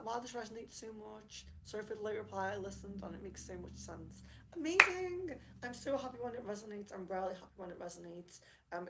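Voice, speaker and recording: A woman chatting casually on a live reading stream, apologetic and friendly as she reads out viewer comments, slightly hoarse from losing her voice. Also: medium pitch, slight background noise, rough recording. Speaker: livestream rune reader. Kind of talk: casual livestream chat.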